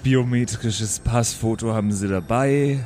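A man's voice: mid-range voice, unhurried, darkish tone, slight background noise, lightly acted. A man playing a curt, pedantic old bureaucrat of heaven with weary, clipped official phrasing in an improvised audio play. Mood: weary, bureaucratic.

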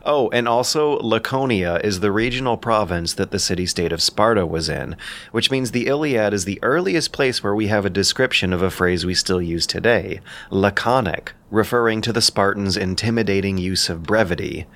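A man narrating scripted explanatory commentary in a measured, lightly informal way. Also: fairly low pitch, expressive, fairly bright tone.

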